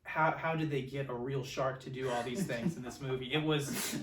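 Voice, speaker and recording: Male, 20s, mid-pitched. Enthusiastic, earnest film podcast co-host, conversational, heard from a little distance in an echoey room. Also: steady tone, normal speed, noisy background. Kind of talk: casual film discussion. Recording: roomy echo, distant from microphone.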